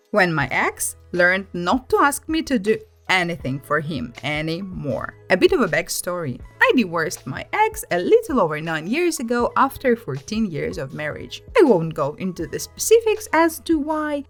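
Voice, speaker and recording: A woman narrates online stories in an expressive, conversational way. Her mid-pitched voice moves up and down a lot, leaning hard on key words. Light music plays behind her. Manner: animated, emphatic, conversational storytelling. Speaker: host reading online stories aloud. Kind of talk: expressive scripted story narration.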